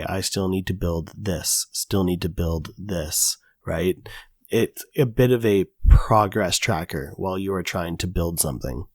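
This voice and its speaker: Raspy man explaining code casually. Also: lowish voice, a little mellow, no echo, studio quality. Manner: casual, chatty, many fillers. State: raspy voice from recovering illness. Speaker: tutorial host. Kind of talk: screen-recorded coding tutorial.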